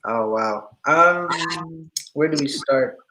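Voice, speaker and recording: A young man from Pakistan, a musician, talking about himself in a relaxed, chatty way with easy laughs during a casual interview. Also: a little high, takes it slowly, background noise. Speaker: musician guest.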